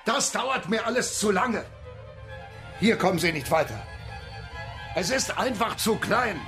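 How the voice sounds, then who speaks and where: An actor playing an impatient, domineering backer of a space project in a radio drama. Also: male voice, sits high, lively intonation, measured pace, creaky, heavily acted. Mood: impatient, commanding. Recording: music behind the voice.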